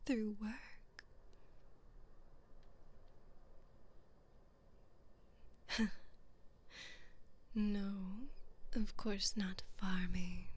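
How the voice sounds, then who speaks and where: A woman voicing a haughty, teasing evil queen in a breathy, condescending whisper for an ASMR roleplay. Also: medium pitch. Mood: mocking, amused. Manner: slow, drawn-out, condescending, seductive.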